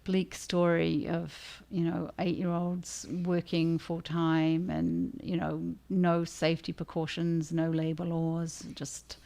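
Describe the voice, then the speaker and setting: A woman's voice: fairly low pitch, level tone, takes it slowly, gravelly, few pauses, dark tone. A woman author hosting a casual discussion with readers, conversational and thinking aloud as she goes. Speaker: author and livestream host.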